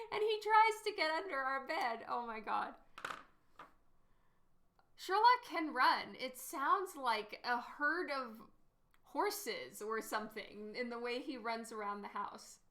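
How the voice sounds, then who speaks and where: A woman's voice: high voice, sharp tone. A woman artist casually chatting with stream viewers while she paints, giggling often and answering their questions.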